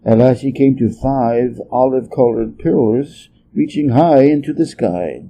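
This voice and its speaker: A man's voice: mid-range voice, unhurried, creaky, dull audio, slight background noise. A man reading a story aloud as a scripted narration. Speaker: story reader.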